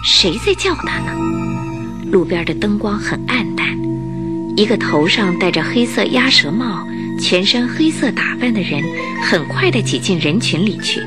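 A woman narrating a Mandarin radio drama as an expressive, scripted storyteller. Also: mid-range voice, moderate pace. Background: music.

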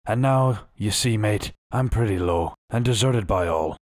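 A sick old sea captain croaks and pleads in rough sailor's dialect, as a man reads him, his voice feeble yet hearty. The voice sits low and the sound is close and dry. He swings between begging and bluster. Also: unhurried, dry recording, natural voice. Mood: pleading.

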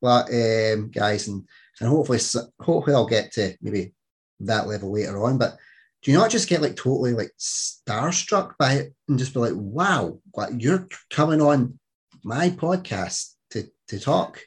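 A man's voice: mid-range voice, lively intonation, normal speed, husky. A man hosting a podcast, asking questions eagerly and filling his sentences with "like" as he trails off. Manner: eager, lots of "like" fillers, trailing off. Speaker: podcast host. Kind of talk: casual interview chat.

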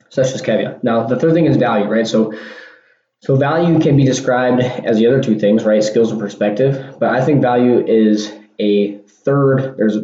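A man talks through a casual solo podcast, circling back and restarting, then punching points home with quick exclamations. His voice is mellow and middle-pitched. The recording is dull and lo-fi, with a roomy echo. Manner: rambling, restarts, punchy "boom" and "bam" asides. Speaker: podcast host. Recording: dull lo-fi sound in an echoey room.

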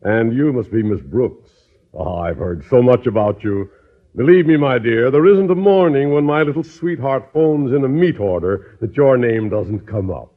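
A man's voice: mid-range voice, expressive, moderate pace, phone-quality sound, lightly acted. An actor playing a genteel, effusive and easily flustered male suitor in a 1950s American radio sitcom. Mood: gushing. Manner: effusive, hesitant when flustered.

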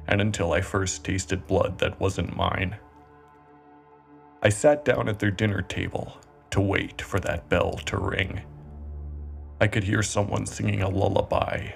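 Man performing a horror monologue, deep creaky voice, self-justifying cannibal killer, deliberate dramatic pauses, brooding calm.

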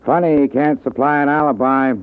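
An American actor playing a hard-nosed, sarcastic police sergeant in a 1940s radio drama. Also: male voice, mid-range voice, steady tone, a little fast, smooth, lightly acted. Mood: sarcastic, tough. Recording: tinny, hissy old radio broadcast.

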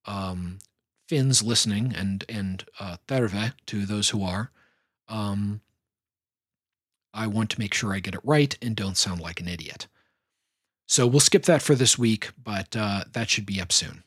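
A man hosting a solo podcast, talking in a measured, thoughtful way. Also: on the low side, fairly bright tone, husky, dry recording, clean studio sound. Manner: measured, thoughtful delivery with fillers. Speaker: podcast host. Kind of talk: reflective solo podcast talk.

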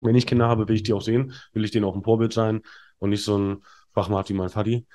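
A man's voice: on the low side, little pitch movement, quick pace, mellow. A man in his thirties, a podcast guest telling candid stories in a rambling way with lots of fillers and restarts. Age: 30s. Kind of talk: candid conversational storytelling.

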